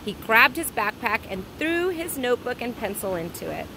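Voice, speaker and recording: A woman's voice: fairly high pitch, very animated, normal speed. A woman reading a children's story aloud expressively, like a teacher, recorded outdoors. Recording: clear outdoor ambient noise.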